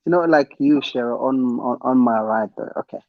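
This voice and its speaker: A man's voice: mid-range voice, normal speed, no room sound. Stammering Zimbabwean man on a dull call. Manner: stammering, repeats words, mixes in Shona. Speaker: co-host. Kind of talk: casual panel chat.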